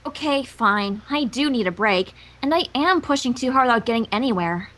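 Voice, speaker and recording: A woman voicing a studious, earnest young female cartoon unicorn in an expressive way. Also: fairly high pitch, moderate pace. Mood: reluctant. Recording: faint background noise, livestream audio.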